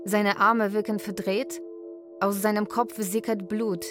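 A woman's voice: mid-range voice, flat delivery, unhurried, lightly acted. A woman narrating a scripted radio drama in terse, short sentences. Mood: terse, irritated. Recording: faint music behind the voice.

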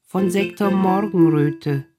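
A woman's voice: on the low side, slow, music in the background. A woman narrating a science fiction radio play as chronicler of a doomed space voyage. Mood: serious.

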